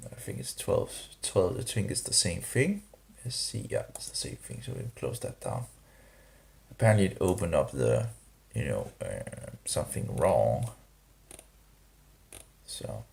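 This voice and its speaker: Slow, gravelly low-voiced man vlogging. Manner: hesitant, halting, many restarts and fillers; grumbling, disapproving asides. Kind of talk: rambling casual vlog monologue.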